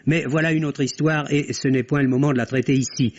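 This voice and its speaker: A dull, lo-fi vintage radio recording of a man speaking as a film critic. His pitch sits in the middle range, and he delivers a scripted review in measured, literary sentences. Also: steady tone.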